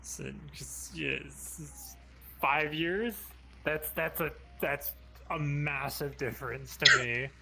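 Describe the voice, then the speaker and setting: A man in his twenties chatting casually with friends online, drawn-out and swearing freely. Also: fairly high pitch, steady tone, gravelly, noisy background. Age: 20s. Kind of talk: casual chat. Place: online voice chat.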